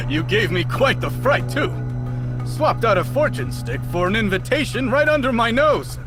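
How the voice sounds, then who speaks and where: An actor playing a playful master thief in a game cutscene, drawling theatrically. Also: male voice, extremely high pitch, animated, a little slow. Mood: amused. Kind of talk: dramatic video game cutscene acting. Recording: music behind the voice.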